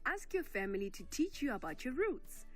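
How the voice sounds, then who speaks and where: A South African woman presenting a children's radio segment in a bright, sing-song, scripted way, speaking to young listeners as friends. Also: high-pitched, very animated, brisk, soft background music.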